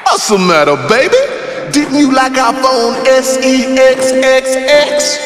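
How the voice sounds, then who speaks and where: A man's voice: extremely high pitch, slow. A man voicing a crude, manic zombie villain in a video game, jeering and theatrical, with an echoing reverb. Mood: taunting. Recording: echoey hall reverb, loud music.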